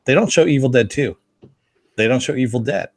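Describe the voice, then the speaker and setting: A man's voice: medium pitch, brisk, slight background noise. A man co-hosting a podcast, chatting casually and reading out listener comments. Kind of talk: casual fan podcast discussion.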